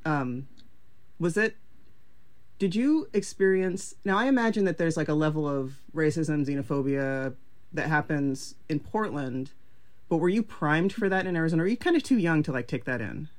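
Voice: mid-range voice, lively intonation, moderate pace. An interview host speaking in a casual, upbeat, slangy way, peppered with "like" and "um.". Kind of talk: podcast interview.

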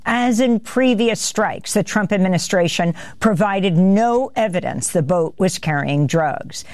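An older woman hosting a news show, reading the headlines in a measured, steady way into a studio mic. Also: mid-range voice, no room sound. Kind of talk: news headlines read from script.

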